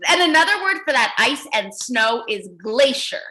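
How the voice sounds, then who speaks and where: A woman reading aloud to young children as their teacher, encouraging and exaggerated, pausing to ask questions. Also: high voice, big pitch swings, bright, forceful tone.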